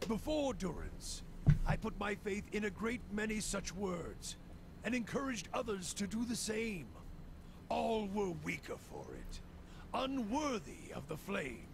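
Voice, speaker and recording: Gravelly, zealous wandering priest. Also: male voice, high-pitched, big pitch swings, measured pace, background noise, full character voice. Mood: bitter, grim. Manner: preachy, cryptic, ominous. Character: zealous, bitter wandering priest of a fire goddess. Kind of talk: video game voice acting, grandiose monologue.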